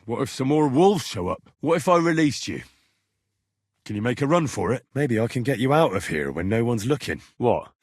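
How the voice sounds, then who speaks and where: A young medieval Bohemian adventurer in a video game, earnest but quick to lose his temper. His husky, mid-pitched voice moves in animated leaps and dips. The delivery is blunt and expressive, captured close and dry. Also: no room sound. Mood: firm, resolute. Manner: expressive, blunt, frequent swearing. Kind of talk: video game dialogue voice lines.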